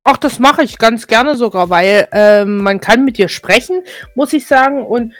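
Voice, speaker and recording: Eine Frau in ihren Zwanzigern aus Deutschland, Co-Moderatorin eines Podcasts, plaudert entspannt und neckt gern. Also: female voice, on the high side, moderate pace, no echo, faint music behind. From Germany. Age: 20s. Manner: relaxed, teasing. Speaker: podcast co-host. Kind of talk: casual chat.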